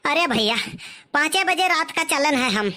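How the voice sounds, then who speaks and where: Man doing a shrill, plaintive Awadhi villager. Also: lively intonation, measured pace, pushed and bright, heavily acted. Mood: familiar, grumbling. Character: weary, hungry male wedding guest, complaining villager. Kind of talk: comic cartoon dialogue.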